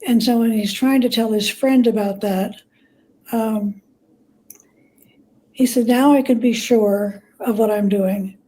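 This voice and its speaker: Female interview guest, higher register, relaxed timbre, conversational storyteller who voices quoted dialogue. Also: slow, pauses often, rough recording. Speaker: guest biographer.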